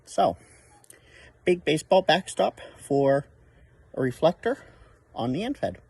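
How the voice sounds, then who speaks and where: A man's voice: a little high, big pitch swings, a little slow, creaky, darkish tone, breathy edge, dry recording. An American man hosting a casual outdoor vlog as a radio operator, recorded on a camera in a park. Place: outdoors in a park by a baseball field. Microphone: camera without external microphone.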